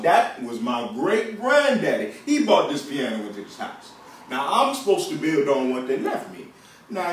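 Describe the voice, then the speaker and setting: A man plays a stubborn, scheming Southern farmer in a folksy stage monologue. He argues in a fairly high, very animated voice, stretching words for emphasis. The sound is heavily echoey. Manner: drawn-out emphatic words, hums and chuckles, argumentative. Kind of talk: theatrical monologue in folksy vernacular. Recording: very echoey room, distant microphone.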